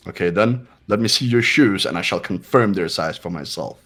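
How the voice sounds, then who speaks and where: A man's voice: low-pitched, normal speed, a bit bright. A man playing a condescending young male genius in an amateur game dub, smug, commanding and formal in his delivery. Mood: haughty. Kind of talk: amateur voice acting in a game playthrough.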